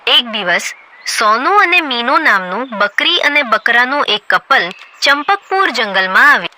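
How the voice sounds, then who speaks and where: High-voiced woman narrating a cartoon story. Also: very animated, normal speed, sharp tone. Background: background music.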